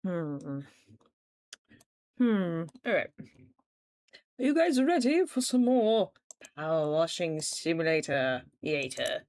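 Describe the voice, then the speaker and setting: A woman streaming a game, chatting casually with her viewers and laughing often, her voice rough and tired from just waking up. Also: sits low, sing-song, slow, long pauses, darkish tone, dry recording. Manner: chatty, giggly, talks to chat while playing. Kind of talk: casual gaming livestream chat.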